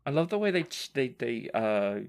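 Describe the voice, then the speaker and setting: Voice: medium pitch, very animated, normal speed. A podcast host and game master with a playful, wry manner, chatting casually and reading out listener questions. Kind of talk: casual Q&A chat.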